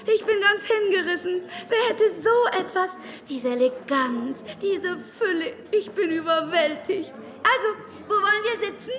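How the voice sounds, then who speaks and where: High-voiced, gushing, fussy chattering aunt. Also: female voice, lively intonation, unhurried, hardly any pauses, fairly bright tone, heavily acted. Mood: overwhelmed, gushing. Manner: gushing, breathless, repetitive, exclaiming. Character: fussy, effusive, chattering middle-aged spinster aunt. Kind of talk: German radio drama acting. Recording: reverberant hall with chattering crowd.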